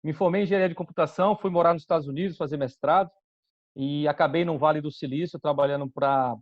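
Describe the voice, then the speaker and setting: Bahian man with a measured, dry voice. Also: a little high, steady tone, dry recording. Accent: Bahian Brazilian Portuguese. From Salvador, Bahia, Brazil. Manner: measured, with frequent restarts and fillers. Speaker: guest, company executive. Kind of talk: interview answers. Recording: dull, dry lo-fi sound.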